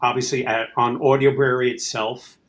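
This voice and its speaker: A man's voice: mid-range voice, little pitch movement, brisk, a bit bright. A man talking casually as a guest writer and producer in a conversation. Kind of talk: casual chat.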